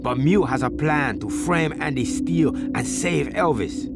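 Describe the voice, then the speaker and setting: An actor playing a menacing, imperious gang boss with a sneering manner in a video game, over loud noise. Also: male voice, a little high, animated, unhurried, vocal fry, loud music behind the voice. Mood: urgent briefing.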